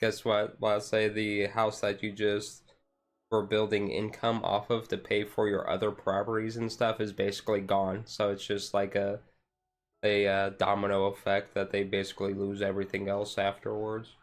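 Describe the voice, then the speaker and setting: A man's voice: lowish voice, completely flat delivery, moderate pace. A man chatting casually on a chill livestream, rambling along with lots of "like" and "and stuff". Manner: rambling, hedging with repeated "like" and "and stuff". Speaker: streamer. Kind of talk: relaxed ASMR chill stream.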